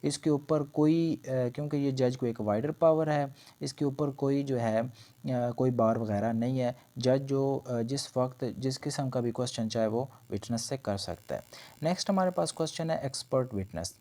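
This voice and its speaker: A man speaks in a dark, mellow voice at an average pitch. He lectures on law in an explanatory way, often restating each point in other words. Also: moderate pace. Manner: explanatory, repeats and rephrases points. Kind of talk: lecture explaining legal points in Urdu mixed with English terms.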